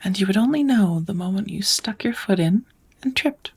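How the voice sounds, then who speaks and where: A woman voicing a kind, patient witch in a soft, often whispered ASMR roleplay, teaching magic in a gentle, measured, slightly formal way. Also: mid-range voice, animated, husky. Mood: calm, patient.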